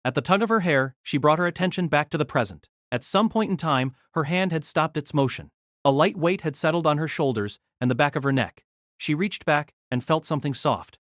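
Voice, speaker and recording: A woman's voice: sits low, fairly quick, no room sound, studio quality. A woman narrating a scripted, dramatized story as its narrator.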